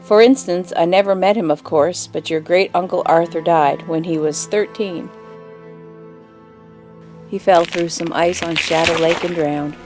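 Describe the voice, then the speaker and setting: A woman playing a grave mother who tells an old family story in a measured, wistful way in an audio drama. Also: on the low side, steady tone, over music, natural voice. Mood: grave, uneasy.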